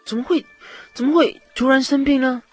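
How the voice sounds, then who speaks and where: A man's voice: very high voice, steady tone, a little fast, slightly rough, no room sound. An actor playing an anxious, scheming king and father, speaking with dramatic urgency in a radio drama. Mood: shocked, worried. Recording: music behind the voice.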